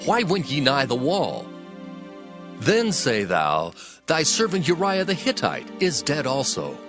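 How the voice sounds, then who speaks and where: An animated, stern ancient army commander. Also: male voice, fairly high pitch, measured pace, over music. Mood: stern instruction. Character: military commander sternly briefing a messenger. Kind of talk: dramatized Bible audiobook acting.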